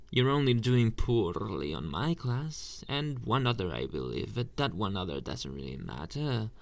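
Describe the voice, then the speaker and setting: A man playing a flirtatious male anime teacher in an ASMR roleplay, coy and teasing, murmuring to the listener with hesitant pauses and pet names. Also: fairly low pitch, big pitch swings, creaky, dry recording, exaggerated voice. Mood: stern, teasing.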